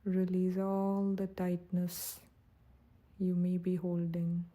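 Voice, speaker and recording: A woman guiding a meditation in a soft, breathy, soothing and gentle voice, speaking without hurry. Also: lowish voice, little pitch movement, takes it slowly. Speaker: meditation guide. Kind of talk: guided meditation narration.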